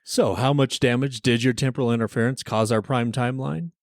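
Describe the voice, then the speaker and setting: A man's voice: mid-range voice, steady tone, fairly quick, noisy background. A man hosting a podcast as an investigator, giving a semi-scripted comedic review in a conversational, mock-official manner. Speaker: podcast host playing an investigator.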